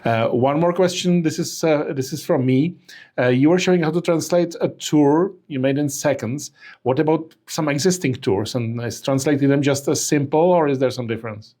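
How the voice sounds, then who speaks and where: A man's voice: a little high, moderate pace, dark tone. Guy reading out chat questions, lots of uh, kinda hesitant. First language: Czech. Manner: hesitant, many fillers. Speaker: webinar co-host reading audience questions. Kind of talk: moderating a Q&A.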